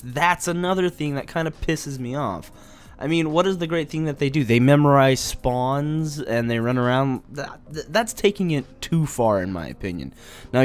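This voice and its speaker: A young man with a nasal, stuffy voice from allergies, giving a blunt, opinionated casual rant as a gaming vlogger. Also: medium pitch. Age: young adult. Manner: blunt, opinionated, many "you know"s.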